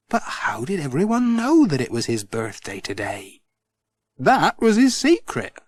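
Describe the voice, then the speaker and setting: A middle-aged man narrating a children's storybook in a gentle, expressive way. His voice rises and falls in a wide, sing-song melody at an unhurried pace. The recording is close and dry. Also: on the high side, no room sound. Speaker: audiobook narrator.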